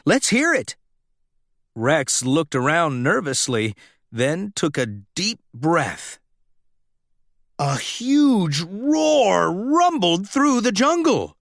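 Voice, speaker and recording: A man reads a children's story with dramatic expression. His voice is fairly high and very animated, moving at a deliberate pace. The recording is close and dry. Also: no echo. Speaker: storybook narrator.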